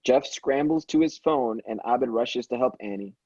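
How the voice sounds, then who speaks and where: A man reads screenplay action lines as a scripted narrator. His tone is relaxed and a little husky. The recording is dry and slightly dull. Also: mid-range voice, moderate pace, no room sound. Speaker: stage-direction reader at a table read.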